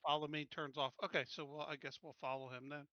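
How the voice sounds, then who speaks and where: A man's voice: on the high side, little pitch movement, quick pace, dry recording, highs cut off. A man chatting casually as a player in a tabletop roleplaying game.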